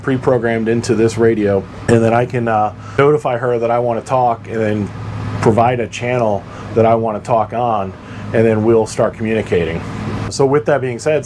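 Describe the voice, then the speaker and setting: A man with a medium-pitched voice talks through gear in an informal, practical way. He is plain-spoken and explanatory, like someone hosting a buyer's guide. There is clear background noise behind him, like air conditioning. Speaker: boating gear reviewer and host.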